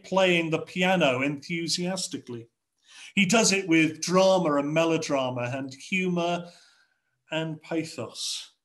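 A middle-aged man with an English accent preaching a sermon from a home study over a video call, in a measured, rhetorical way with repeated phrases. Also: fairly high pitch, bright, forceful tone. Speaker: pastor. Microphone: video call webcam.

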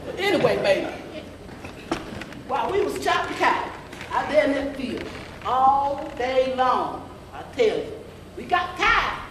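A roomy, distant church recording with audience noise carries a woman's portrayal of an old granny. She is high-voiced, folksy and rambling, drawling as she scolds the young. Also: big pitch swings, measured pace, pauses often, a crowd in the background. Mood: rambling, tired. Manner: folksy, rambling, calls listeners baby. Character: old Southern former field-hand grandmother, folksy, scolding, rambling. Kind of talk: amateur gospel stage play monologue, storytelling. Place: church sanctuary stage, mid-sized hall. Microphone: camera some metres away.

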